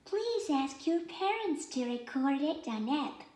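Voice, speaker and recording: A woman's voice: sits high, very animated, a little slow, darkish tone. A woman voicing a small, polite, child-like reading robot that gives scripted prompts. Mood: polite, helpful. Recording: echoey playback from device speaker.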